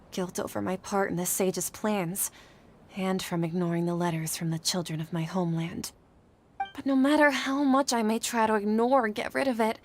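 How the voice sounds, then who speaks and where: A woman's voice: mid-range voice, slight background noise, lightly acted. An actress playing an anxious, guilt-ridden young woman scholar in a video game, speaking earnestly and formally. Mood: guilty, subdued. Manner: earnest, troubled, formal wording. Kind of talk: dramatic video game voice acting.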